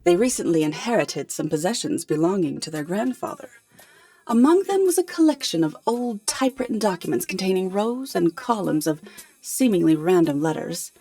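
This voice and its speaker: An actress playing a poised, articulate professor in a radio drama, explaining things in a measured, polite way. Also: female voice, medium pitch, animated, dark tone, faint music behind. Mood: composed. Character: poised, articulate female professor hiring a detective.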